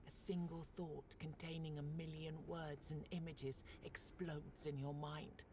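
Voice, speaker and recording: A woman playing a solemn, detached storyteller, narrating a dark fantasy video game in a measured, grave way. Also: sits low, little pitch movement, noisy background.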